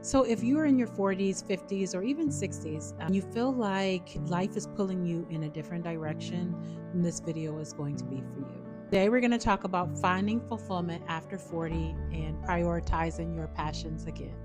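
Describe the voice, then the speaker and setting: A woman's voice: fairly low pitch, moderate pace, music in the background. A woman in her fifties, a holistic health coach, talking to camera in an earnest, chatty way, often repeating herself. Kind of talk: casual talk to camera.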